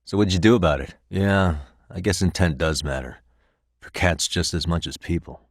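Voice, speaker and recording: A man's voice: low-pitched, normal speed, no echo. An actor in his thirties with an American accent playing an easygoing, wry private detective in casual video game dialogue. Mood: curious, relaxed. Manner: casual, dry wry humour.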